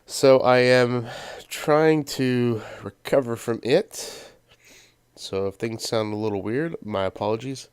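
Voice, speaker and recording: A man's voice: mid-range voice, takes it slowly, clear, resonant tone, dry recording. Congested man narrating gameplay, dry. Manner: rambling, many ums and uhs. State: stuffy with a sinus cold. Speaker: let's play host. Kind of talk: casual gameplay commentary.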